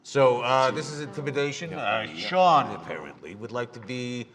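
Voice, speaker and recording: A man's voice: medium pitch, expressive, normal speed, a bit bright. A man playing a tabletop game live, with casual in-character banter. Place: large convention room, live show. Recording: live show in reverberant hall.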